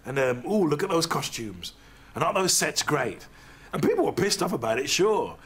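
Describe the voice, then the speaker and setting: A man speaks in a documentary interview, reflecting on the past in a living room. His voice is husky. He is relaxed and talkative. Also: on the high side, normal speed. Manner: reflective, mimics voices when quoting. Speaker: interviewee, comedian.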